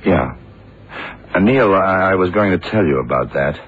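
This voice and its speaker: An actor playing a calm, wry lead investigator in a radio crime drama, unhurried and probing. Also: male voice, low-pitched. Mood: measured, probing. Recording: muffled, noisy vintage radio recording.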